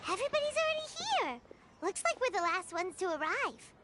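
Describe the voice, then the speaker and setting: A woman voicing a tiny, excitable, childlike fairy companion in a video game, squeaky and full of exclamations. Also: fairly quick, very noisy. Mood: excited, chatty. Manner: exclamatory, refers to self in third person.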